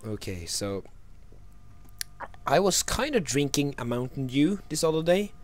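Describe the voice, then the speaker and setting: A young man telling anecdotes in casual chat, halting and jokey. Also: on the high side, sing-song. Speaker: co-host of a casual gaming video.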